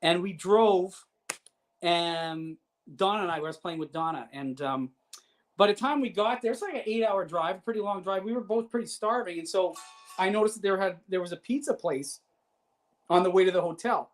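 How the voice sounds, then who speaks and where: A man with a fairly high voice, a guest musician in a casual chat, telling stories in a rambling, animated way full of restarts and laughter. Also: moderate pace.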